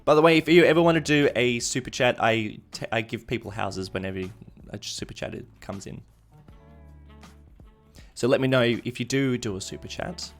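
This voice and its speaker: A man streams a game in an easygoing, conversational way. His voice sits at an average male pitch as he muses aloud to his viewers. Music plays behind him. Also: normal speed. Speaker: live streamer. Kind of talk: casual game-stream commentary, chatting with viewers. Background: background music.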